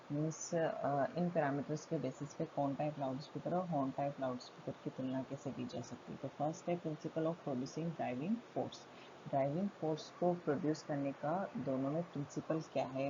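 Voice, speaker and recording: Low-voiced Indian woman lecturing, very noisy recording. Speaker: college lecturer. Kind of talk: explanatory technical lecture. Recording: muffled lo-fi audio with loud background noise.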